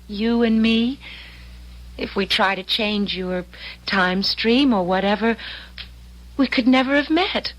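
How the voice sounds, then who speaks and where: A woman's voice: fairly high pitch, slow pace, long pauses, fairly bright tone, low-quality recording. An actress playing an earnest, anxious but loyal young woman traveling through time, in old-time radio drama style.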